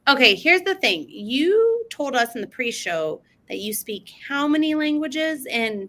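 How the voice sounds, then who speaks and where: A woman hosting a podcast, asking guests questions in an upbeat, animated way. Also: high voice, measured pace. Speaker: podcast host. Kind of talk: casual chat.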